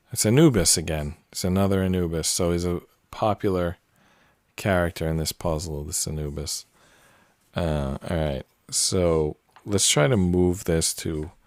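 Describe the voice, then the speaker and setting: Low-voiced man rambling over a puzzle. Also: no echo, clean studio sound. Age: 40s. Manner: rambling, relaxed, many fillers and tangents. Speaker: puzzle channel host. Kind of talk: casual solo commentary while solving a puzzle.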